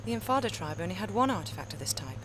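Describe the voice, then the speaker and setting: A woman's voice: lowish voice, sing-song, rapid-fire, background noise. A woman playing a cool, self-possessed adventurer in a video game, talking in a dry, curt, sardonic way. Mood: cool curiosity. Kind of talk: video game cutscene acting.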